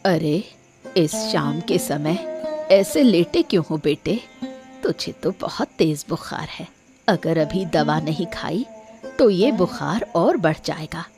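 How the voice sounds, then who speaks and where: A woman's voice: low voice, animated, slow pace, music in the background, heavily acted. A woman playing a caring, helpless old grandmother in a cartoon moral story, soothing and pleading. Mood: concerned, soothing. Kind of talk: Hindi cartoon story dialogue.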